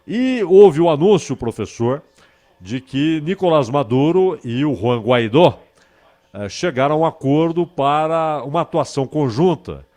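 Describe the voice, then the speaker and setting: A man's voice: fairly high pitch, expressive, unhurried, warm tone. A man with a Brazilian accent, speaking as a radio host conducting an interview.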